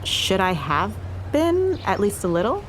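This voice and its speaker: Sensible young sister: mid-pitched, very expressive, earnest, self-doubting, podcast romcom, light background ambience. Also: female voice, a little slow, slight background noise. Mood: anxious, self-doubting. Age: young adult. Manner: earnest, questioning. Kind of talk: romcom podcast drama acting.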